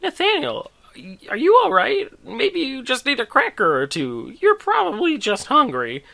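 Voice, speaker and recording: A man's voice: extremely high pitch, wildly swooping pitch, unhurried, creaky, pushed and bright, voice pushed up, full character voice. A man voicing a concerned, motherly female skeleton in a story, with fussy, soothing concern. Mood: worried.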